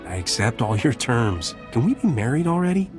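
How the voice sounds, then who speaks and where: An actor playing a cocky, flirtatious smuggler captain in a video game, swaggering and glib with a teasing delivery. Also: male voice, medium pitch, big pitch swings, normal speed, music in the background, natural voice. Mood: teasing, smitten. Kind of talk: scripted video game dialogue.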